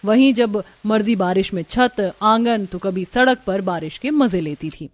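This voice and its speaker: A woman's voice: medium pitch, unhurried, no room sound. A woman reading scripted storytelling narration for a cartoon. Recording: music bed behind the voice. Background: background music.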